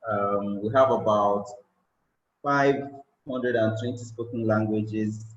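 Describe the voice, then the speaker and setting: Male tech evangelist, Nigerian accent, slightly low voice, roomy and somewhat dull recording. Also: fairly even intonation. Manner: unhurried, many um fillers. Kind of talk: conference presentation.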